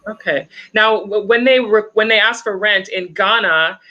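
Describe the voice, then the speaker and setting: A woman's voice: medium pitch, normal speed, bright, forceful tone, muffled recording. A woman with a Canadian accent, hosting an interview and reading out viewer questions in a chatty, easy way. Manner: chatty, reads viewer questions, laughs easily. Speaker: host. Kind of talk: live-stream interview.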